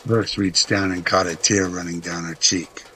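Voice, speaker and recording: A man's voice: low-pitched, steady tone, normal speed, bright, forceful tone, slight background noise. A man reading scripted dramatic narration as the narrator of a story.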